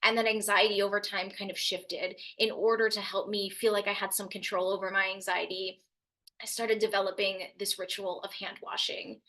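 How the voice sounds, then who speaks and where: A young woman speaking as a youth mental health speaker, telling her personal story to an audience in an earnest, steady way. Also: mid-range voice, normal speed, rough recording. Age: young adult. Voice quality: loud voice.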